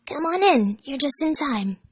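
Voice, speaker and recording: Woman voicing a shy, soft-spoken teenage fox boy. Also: high voice, very animated, moderate pace, dry recording, pitched above the performer's own voice. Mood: welcoming, relieved. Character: shy, lovesick fourteen-year-old boy fox, Tails.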